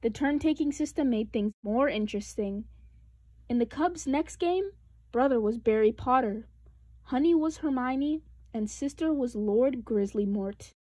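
A woman narrates a children's picture book aloud. Her voice is fairly high and expressive, with deliberate emphasis, recorded dry and close. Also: dry recording. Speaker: storybook reader.

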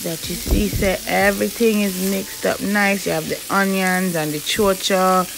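A woman with a Jamaican accent narrating her cooking in a home kitchen, relaxed and folksy. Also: mid-range voice, measured pace, creaky, noisy background. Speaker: cooking vlogger. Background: background music.

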